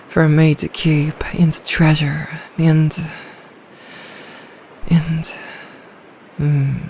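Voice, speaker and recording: A woman voicing a lonely, ancient female sea dragon in an intimate ASMR roleplay, soft, regal and possessive, doting with quiet menace. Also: low voice, slight background noise. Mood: hushed, menacing.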